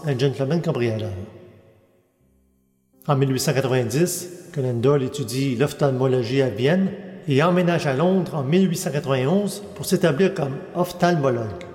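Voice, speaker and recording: A man reading a scripted biographical narration in a steady, measured way, working through dates and names. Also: on the high side. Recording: echoey hall with faint music.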